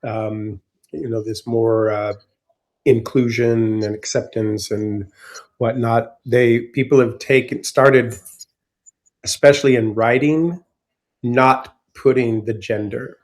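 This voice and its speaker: A man joining a casual group call to explain something, speaking in quick runs. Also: medium pitch, steady tone, poor audio. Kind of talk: casual video call chat.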